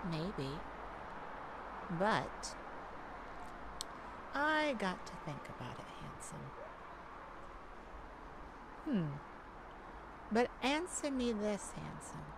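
A woman's voice: mid-range voice, very animated, drawn-out words, gravelly, long pauses, background noise, full character voice. A woman playing a cocky, flirty female cat burglar in an ASMR roleplay, with a teasing, sassy, theatrical delivery. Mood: coy, musing.

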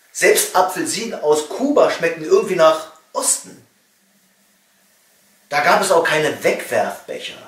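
Middle-aged man, satirical monologue, echoey room. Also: fairly high pitch. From East Germany. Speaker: cabaret comedian and author. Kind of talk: satirical comedy monologue read from a text. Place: small home study, indoors. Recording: roomy echo, distant microphone.

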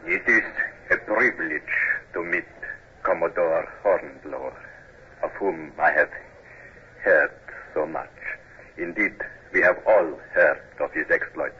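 An actor playing a gracious emperor in a radio drama, speaking in a measured, ceremonious manner. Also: male voice, low-pitched. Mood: regal, courteous. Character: stately, gracious Russian emperor. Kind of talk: 1950s radio drama acting. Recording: muffled, noisy 1950s radio recording.

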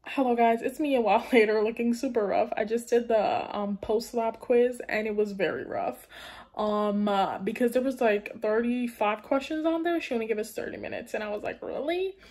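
A woman's voice: fairly high pitch, moderate pace, darkish tone. A young woman, a college student, chatting casually to her camera with lots of "like" and "um" fillers. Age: young adult. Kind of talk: casual vlog chat to camera.

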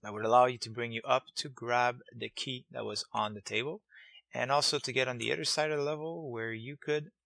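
A man's voice: medium pitch, vocal fry, sharp tone, no room sound. A man hosting a game development tutorial series, narrating casually in a voice-over. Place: close, dry voice-over over screen capture.

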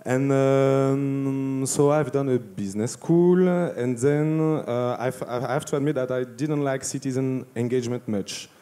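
A man in his thirties speaks English with a French accent as a panelist. His voice is soft-edged and mellow, at an average male pitch. He talks informally into a handheld mic on a festival stage. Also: unhurried. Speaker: panelist, community project founder. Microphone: handheld microphone.

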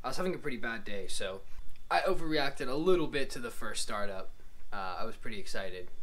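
A man's voice: medium pitch, a little fast. A man in his twenties casually explaining a motorcycle repair to a handheld camera in his home garage. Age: 20s. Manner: relaxed, explains hands-on repair steps. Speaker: motorcycle restoration vlogger. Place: home garage and driveway, outdoors and indoors. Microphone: handheld camera at arm's length.

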